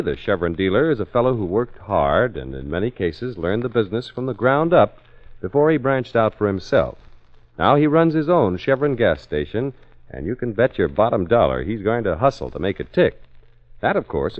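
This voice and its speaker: Male American 1940s radio announcer, scripted delivery, low and animated, dull vintage recording. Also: normal speed.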